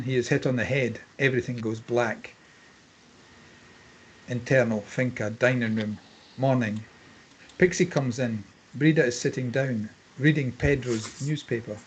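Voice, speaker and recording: A man's voice: medium pitch, normal speed, a little mellow, slight background noise, rough recording. A man reading screenplay stage directions as scripted narration, in clipped phrases.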